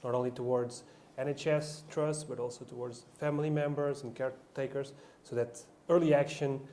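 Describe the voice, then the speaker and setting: A man introducing himself on a panel, speaking carefully and haltingly with pauses. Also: medium pitch, fairly even intonation, warm tone. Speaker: panelist, biomedical engineer.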